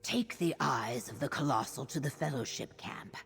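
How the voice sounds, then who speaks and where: Very deep, raspy reptilian warrior. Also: female voice, heavily acted. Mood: solemn, measured. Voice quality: raspy, breathy. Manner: measured, terse, grave. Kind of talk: scripted video game acting. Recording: long cavernous reverb on voice.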